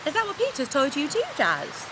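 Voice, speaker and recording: A woman plays a frank, caring workmate who has grown a little weary of it all. Her voice is fairly high and very expressive, with clear rain-like noise behind her comedy drama lines. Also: a little fast. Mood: gentle. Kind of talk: comedy audio drama dialogue. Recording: clearly noisy, rain-like background.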